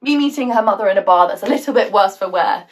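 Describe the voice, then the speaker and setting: A woman acting a theatrical monologue with a British accent as a bitter, wounded woman, sardonic, halting and emotionally volatile. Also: mid-range voice, lively intonation, far from the mic, lightly acted. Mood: bitterly wry. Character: bitter, wounded woman confiding a painful story.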